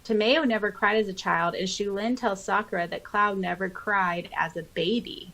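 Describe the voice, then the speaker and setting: A woman co-hosting a podcast, reading listener comments aloud with expressive, giggly, chatty reactions. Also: medium pitch, normal speed, gravelly. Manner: expressive, giggly, interjecting exclamations. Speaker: podcast co-host.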